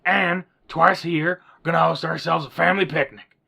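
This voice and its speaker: A man playing a folksy ghoul manager, bossy but friendly, with a gravelly voice in a radio sitcom. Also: on the high side, steady tone, fairly quick, pushed and bright, full character voice. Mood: brisk, commanding.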